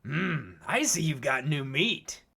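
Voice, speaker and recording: A man voicing a cocky, swaggering rival team leader creature in an animated comic dub, brash and jeering in his delivery. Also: on the high side, slow, gravelly, breathy edge, heavily acted. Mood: sneering.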